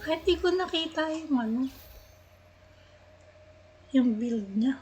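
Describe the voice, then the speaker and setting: A woman's voice: high-pitched, lively intonation, unhurried, a little mellow. A woman with a Filipino accent chatting casually as she streams a game. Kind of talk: casual chat while playing. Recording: game music behind the voice. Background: video game music.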